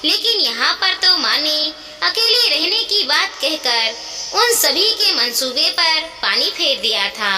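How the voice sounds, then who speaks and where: Very bright, high-pitched woman storyteller. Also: very animated, slow pace. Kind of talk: scripted moral story narration. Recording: echoey reverberant sound, clear background noise.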